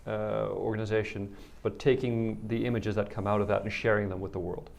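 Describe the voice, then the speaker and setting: A man from the Netherlands with a Dutch accent, a guest explaining his work in measured answers. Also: fairly low pitch, flat delivery, vocal fry. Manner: measured, explanatory, some repeated words. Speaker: guest, organization head. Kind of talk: interview answers.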